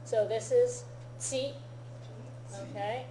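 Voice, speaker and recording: A woman teaching a Japanese lesson, explaining patiently in an unhurried, easygoing way. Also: a little high, steady tone, warm tone, slight background noise. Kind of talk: relaxed classroom-style lesson. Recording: echoey room.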